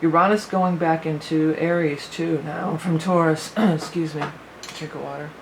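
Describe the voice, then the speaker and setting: A woman's voice: low-pitched, fairly even intonation, moderate pace, noisy background. A woman giving a casual spoken astrology forecast as a psychic reader, rambling and thinking aloud with many fillers, her voice a little worn.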